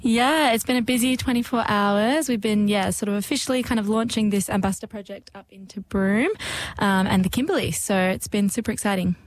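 A woman's voice: medium pitch, a little fast. A woman talking upbeat and a little hesitantly, with many "um"s and "yeah"s, as a guest in a radio interview about a community project.

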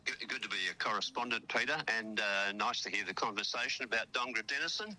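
A man's voice: fairly low pitch, fast talker, bright, forceful tone, rough recording. An Australian man talking as a radio correspondent from abroad, chatty and rambling, full of fillers and restarts. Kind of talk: casual chat on a phone link. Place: outdoors at a memorial hall abroad.

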